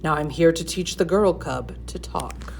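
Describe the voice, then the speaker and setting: A woman voicing a calm, menacing male tiger in a storybook, speaking in a measured, dry, deliberate way. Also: sits low, gravelly, faint music behind. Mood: calm menace.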